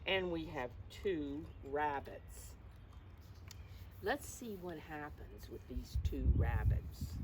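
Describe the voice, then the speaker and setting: A woman reading a picture book to preschoolers outdoors, pausing to explain and question in simple teacherly language. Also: sits low. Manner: simple teacherly phrasing, questions to young listeners. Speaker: storyteller for preschoolers. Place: outdoors in a backyard. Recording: clear outdoor background noise.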